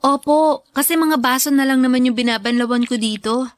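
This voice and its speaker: Woman doing young filipina devoted to her parent, radio drama, respectful n sweet, highish pitch, no room sound. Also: a little fast, dry recording, studio quality, close to an everyday voice. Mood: polite, reassuring. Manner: polite, sweet, deferential. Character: young graduate, devoted, respectful, caring grown child.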